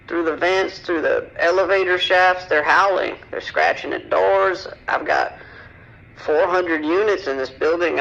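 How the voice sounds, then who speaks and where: An actor playing a distraught, soft-hearted male apartment resident making a hesitant emergency call in an audio drama, through a phone filter. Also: a little high, gravelly. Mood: anxious, shaken. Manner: hesitant, anxious, pleading. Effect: phone or radio filter. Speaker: emergency caller. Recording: dull, band-limited emergency phone line.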